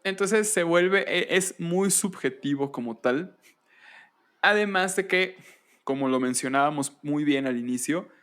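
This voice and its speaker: A man hosting a podcast, explaining history conversationally in a deliberate way, with pauses and rhetorical questions. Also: mid-range voice, a bit bright. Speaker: podcast host.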